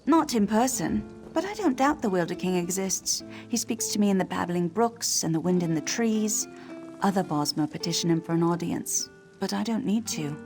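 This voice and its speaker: An actress playing a haughty, sardonic wood elf village leader in a video game. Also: female voice, medium pitch, expressive, normal speed, a little mellow, close to an everyday voice. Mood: proud, reverent. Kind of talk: video game character acting. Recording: music behind the voice.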